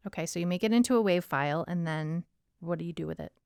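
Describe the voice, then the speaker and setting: A woman's voice: lowish voice, quick pace, creaky, a little mellow, clear, resonant tone, no echo, clean studio sound. A woman co-hosting a podcast in an informal, conversational style. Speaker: podcast co-host. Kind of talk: casual co-host chat. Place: home room padded with blankets and rugs.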